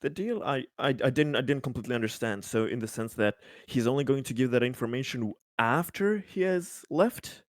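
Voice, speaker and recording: Halting man at a roleplaying table. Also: medium pitch, expressive, no room sound. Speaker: tabletop role-playing player. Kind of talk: in-character table talk, improvised.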